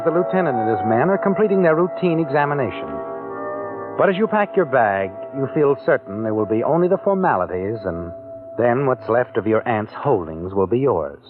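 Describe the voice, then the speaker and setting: A man narrates a suspense tale straight at the listener, knowing and insinuating, his pitch swooping with feeling. The sound is thin and phone-like, from an old radio broadcast, with faint music behind him. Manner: insinuating, addresses the listener as "you". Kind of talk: second-person suspense narration.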